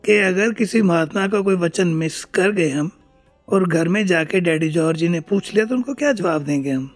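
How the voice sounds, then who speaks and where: A man with a noticeably high-pitched voice speaks as a guest in a conversational interview. He recalls family memories and often relays remembered exchanges in direct quotes. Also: moderate pace, faint music behind. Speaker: guest recalling his father.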